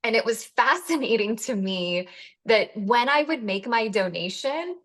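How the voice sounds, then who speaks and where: A woman's voice: fairly high pitch, expressive, unhurried, fairly bright tone. A woman hosting a community conversation, talking with enthusiasm in long, flowing sentences. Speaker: host.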